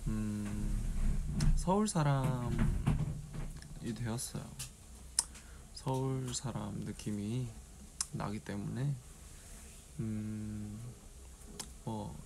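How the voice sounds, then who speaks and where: Young male idol with a regional Korean dialect, slow gravelly delivery, drowsy late-night phone stream with faint room hiss. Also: on the low side. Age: 20s. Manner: drowsy and meandering, mumbling to himself, softly singing snatches. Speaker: idol livestream host. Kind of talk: casual solo livestream chat with viewers. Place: small dim room at night. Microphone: phone camera at arm's length.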